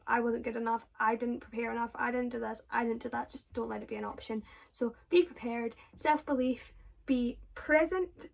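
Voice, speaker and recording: Young woman, Scottish accent, slightly high voice, chatty and rambling, casual Q&A. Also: creaky. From Scotland. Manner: chatty, with frequent fillers and self-corrections. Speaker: drama student vlogger. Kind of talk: casual Q&A advice to viewers.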